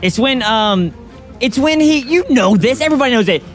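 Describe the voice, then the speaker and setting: Lively young man streaming a game. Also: very high-pitched, soft background music. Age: 20s. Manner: excitable, jokey, quick to laugh loudly. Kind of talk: casual gaming chat.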